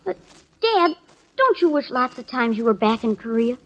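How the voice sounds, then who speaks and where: A cheeky young boy in an old radio comedy. The voice is extremely high, bright and swoops up and down. It sits on a muffled vintage recording. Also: sing-song, normal speed, full character voice. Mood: pert. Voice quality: small, childish. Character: cheeky eight-year-old boy at breakfast. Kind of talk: 1950s radio comedy acting.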